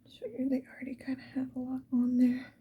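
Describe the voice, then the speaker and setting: A woman's voice: high-pitched, completely flat delivery, fast talker, phone-quality sound, slight background noise. A woman whispering breathily in a casual, rambling ASMR style, unhurriedly thinking aloud. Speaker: ASMR video creator.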